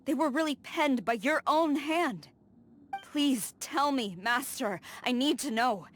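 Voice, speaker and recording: A woman's voice: high voice, animated, slow pace, a little hiss or hum. An actress playing a principled, stern young female general, speaking formally and earnestly in a game cutscene. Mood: pleading, confronting. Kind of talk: dramatic game cutscene acting. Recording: dramatic music behind the voice. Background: game soundtrack music.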